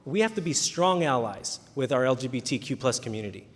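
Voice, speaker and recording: A man's voice: mid-range voice, very animated, brisk. A man answering questions at a candidate forum, earnest, often restarting his words. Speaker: city council candidate. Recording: reverberant hall.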